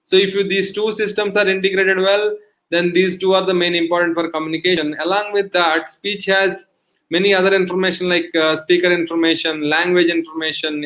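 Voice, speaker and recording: A man's voice: high voice, a bit bright, poor audio. A middle-aged man giving an online technical lecture, explaining step by step with frequent fillers and checks of "okay". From India.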